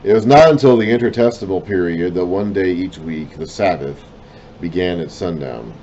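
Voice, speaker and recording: A man reading and explaining a Bible lesson in a deliberate way, his voice trailing off at the ends of phrases, with self-conscious apologetic asides. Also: sits low, mellow, highs cut off, a little hiss or hum. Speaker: Bible teacher.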